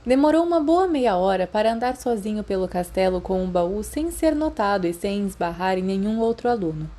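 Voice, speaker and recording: A woman's voice: medium pitch, animated, brisk, dark tone. A woman with a Brazilian accent, reading scripted fan fiction as an audiobook narrator.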